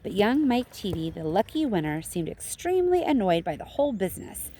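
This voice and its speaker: Animated woman reading a storybook. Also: medium pitch, fairly quick, noisy background. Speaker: schoolteacher reading aloud. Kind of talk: expressive children's storybook narration.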